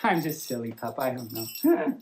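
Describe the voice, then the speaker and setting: A woman reading a story aloud as a storytime host, playful and silly in an expressive way. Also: a bass voice, measured pace, loud music behind the voice.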